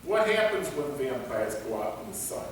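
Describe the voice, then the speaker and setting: A man's voice: medium pitch, big pitch swings, lightly acted. A man playing a curious guard who stalls his captors with hesitant questions in a comic staged reading. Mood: curious, stalling. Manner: halting, inquisitive, hesitant phrasing. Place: large reverberant indoor performance space. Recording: echoey hall from far off, noisy.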